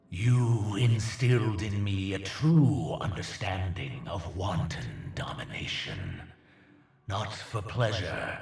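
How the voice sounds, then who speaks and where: A man playing a proud, menacing alien conqueror in a video game, speaking in a grandiose, theatrical, deliberate way with hushed emphasis. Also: sits low, gravelly, echoey room, far from the mic, exaggerated voice. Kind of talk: dramatic video game villain monologue.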